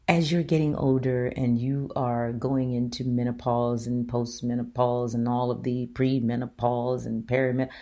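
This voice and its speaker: A middle-aged woman with a strikingly low, deep voice talks on her own show. Her tone is mellow and dark, and she speaks earnestly, pressing her points with questions to the listener. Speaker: show host. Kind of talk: solo opinion talk.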